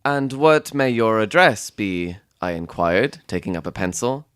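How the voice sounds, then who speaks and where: A man playing an observant, composed Victorian gentleman who narrates a detective story in a measured, literary way. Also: on the low side, animated, clear, resonant tone, dry recording, clean studio sound. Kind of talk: dramatic audiobook narration.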